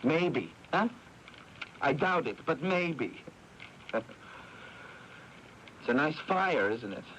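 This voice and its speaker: A higher-pitched, very animated man, spoiled and quick-tempered. He needles and sneers, repeating himself as he goes. It is old radio drama, with a faint hiss over it. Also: heavily acted, poor audio. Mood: restless, bitter amusement. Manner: needling, sneering, with stammered repetitions. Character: hot-tempered, spoiled, self-pitying younger brother. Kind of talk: 1980s radio drama acting. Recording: hissy 1980s AM radio airing.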